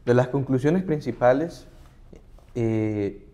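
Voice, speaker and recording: A man's voice: medium pitch, slow, dark tone. A middle-aged man, a human rights advocate, earnestly explaining points as a guest in an interview. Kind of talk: conversational interview.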